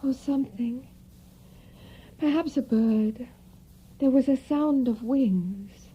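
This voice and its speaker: A frail young gentlewoman speaks slowly in a high, breathy voice. She is anxious and languid, in audio drama style, on a slightly muffled recording. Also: full character voice. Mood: uneasy. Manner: hesitant, languid.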